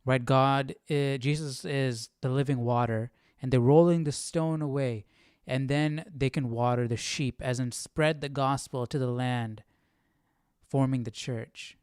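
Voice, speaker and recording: A man's voice: mid-range voice, fairly even intonation, unhurried, darkish tone, clean tone, no room sound, studio quality. A man hosting a livestream, informally thinking aloud as he comments on a Bible reading. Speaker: livestream host.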